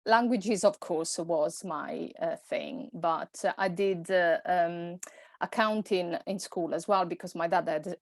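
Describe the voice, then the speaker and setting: Italian woman, 40s, podcast guest; low-pitched, dry close mic, hesitant and chatty. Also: no room sound. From Italy. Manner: hesitant, many fillers and restarts. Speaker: guest, personal stylist. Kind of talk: podcast conversation.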